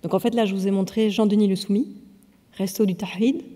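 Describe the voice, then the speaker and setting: A woman's voice: medium pitch, brisk, noisy background. Woman presenting video clips in a hall. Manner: explanatory, relaxed, with fillers. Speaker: trainer presenting on radicalisation. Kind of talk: presentation to an audience.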